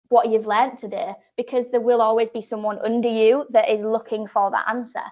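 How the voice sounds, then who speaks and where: A woman's voice: a little high, lo-fi sound. A woman in her twenties with a Yorkshire accent gives an informal, chatty presentation, rambling a little with fillers and restarts. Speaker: presenter giving a talk to apprentices.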